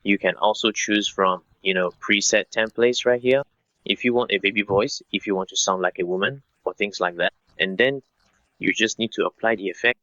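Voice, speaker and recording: A man in his thirties narrating a step-by-step software tutorial in an instructional way, speaking directly to viewers. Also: lowish voice, normal speed, no room sound, lo-fi sound. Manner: instructional, addresses viewers as guys.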